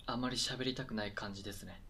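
A man's voice: fairly low pitch, quick pace, bright, forceful tone, a little hiss or hum. A man acting in a conversational radio drama as a depressed, self-conscious welfare applicant, hesitant, flustered and apologetic. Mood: awkward, apologetic.

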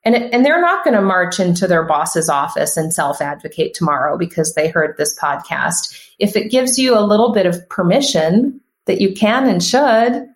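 A woman's voice: lowish voice, moderate pace, few pauses. Podcast guest, woman, 30s, punchy conversational delivery, echoey room. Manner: emphatic, animated, frequent restarts. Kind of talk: casual conversational interview.

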